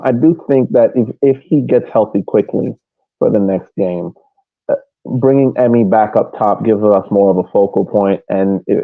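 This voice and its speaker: A man's voice: fairly low pitch, dry recording. A man co-hosting a podcast, giving casual sports analysis over a tinny, phone-like line. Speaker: podcast co-host.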